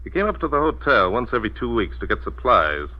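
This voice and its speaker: An actor playing a calm, dryly amused, reasonable detective in an old radio mystery. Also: male voice, mid-range voice, fast talker, natural voice. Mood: matter-of-fact. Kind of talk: 1940s radio mystery acting. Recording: dull vintage radio recording.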